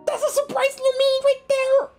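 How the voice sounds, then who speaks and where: A woman's voice: extremely high pitch, darkish tone. A woman giving casual, slangy, reactive commentary as she plays a video game. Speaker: let's play streamer. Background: game music.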